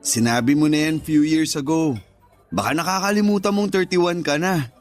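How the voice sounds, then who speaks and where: A man's voice: on the high side, moderate pace, faint music behind, close to an everyday voice. An actor playing a teasing, pushy married best friend in his thirties, with a Filipino accent, coaxing and joking in a radio drama. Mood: coaxing, nagging.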